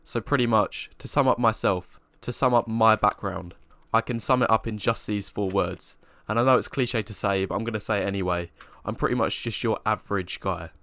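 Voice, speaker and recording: A young man earnestly describing himself in a scripted monologue, flat and matter-of-fact. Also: on the low side, fairly quick, no room sound.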